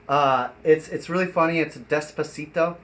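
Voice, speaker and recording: A man hosting a casual, improvised live stream, talking in a rambling, halting way and giving directions between remarks. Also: on the high side, a bit bright. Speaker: live stream host and performer. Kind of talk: casual improvised livestream chat. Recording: echoey room, noisy live stream audio.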